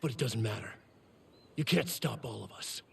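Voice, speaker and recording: A man playing a jealous, cocky villain brother in a video game, with a husky voice and sneering, theatrical delivery. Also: fairly low pitch, animated, moderate pace, far from the mic, very noisy. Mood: flustered. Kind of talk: scripted video game acting.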